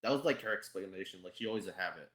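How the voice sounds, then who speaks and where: A man explaining things in casual conversation, halting and often repeating his phrases as he goes. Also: low-pitched, expressive, fairly bright tone. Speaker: podcast guest.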